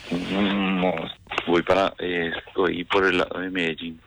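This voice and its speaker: A man with a rather low, very creaky voice. He speaks casually, with a flirtatious edge, as on a personal phone call. His delivery is hesitant and often questioning. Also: little pitch movement, a little slow, noisy background.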